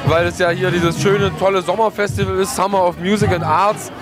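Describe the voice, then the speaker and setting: A man, a club DJ, giving a spontaneous, halting spoken report on location, searching for words. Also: sits high, flat delivery, over music.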